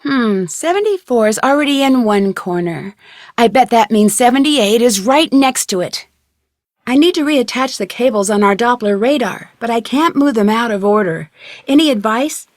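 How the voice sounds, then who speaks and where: A woman playing a curious, confident young amateur detective in a video game, speaking in a clear, inquisitive, polite and self-assured way. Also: fairly high pitch, expressive, normal speed, dry recording, clean studio sound, natural voice.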